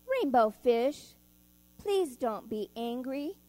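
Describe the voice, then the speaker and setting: A woman's voice: high-pitched, sing-song, slow, warm tone, heavily acted. A woman voicing a small, timid male fish from a children's storybook, pleading sweetly. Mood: gentle. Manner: pleading, pleasant.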